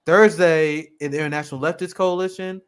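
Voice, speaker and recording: A man's voice: a little high, fairly even intonation, a little fast, smooth. A man hosting a political livestream, casually running through the show schedule in an upbeat way. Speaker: host.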